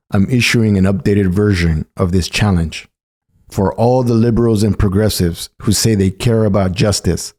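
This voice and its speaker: A man's voice: on the low side, darkish tone. A man reading a scripted political polemic as narration. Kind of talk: scripted narration, polemical essay read aloud.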